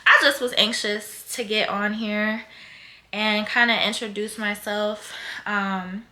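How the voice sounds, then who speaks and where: A woman's voice: mid-range voice, fairly even intonation, slow, pushed and bright. A woman, chatting casually to camera as a first-time vlogger, rambling with lots of fillers. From Philadelphia, USA. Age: 20s. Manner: blunt, heated and cursing when ranting.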